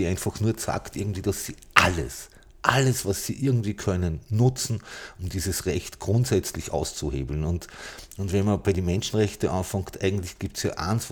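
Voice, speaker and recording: Austrian guy with a really low voice, blunt and indignant, chatting on a podcast panel. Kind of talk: opinionated casual political discussion.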